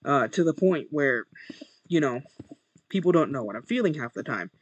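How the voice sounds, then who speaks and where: A young man with a monotone voice, chatting casually while playing a game and muttering to himself over his first recording. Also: on the high side, normal speed, breathy edge, lo-fi sound. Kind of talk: casual gameplay commentary.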